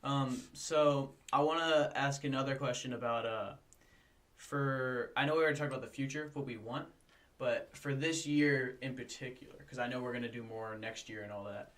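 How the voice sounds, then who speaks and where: A man's voice: medium pitch, normal speed, a bit bright, distant mic. A teenage boy co-hosting a podcast in a small studio, talking up upcoming projects with a hyped, promotional manner and many fillers. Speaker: podcast co-host. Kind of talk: casual podcast chat. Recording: voice a bit off-mic.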